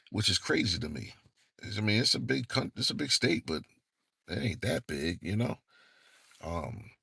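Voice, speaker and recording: A young man with a very low, gravelly voice talks in a loose, rambling way, circling back to his points with plenty of slang and fillers. It sounds dry and close. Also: fairly bright tone, dry recording. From northeastern United States. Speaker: channel host. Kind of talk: casual monologue vlog.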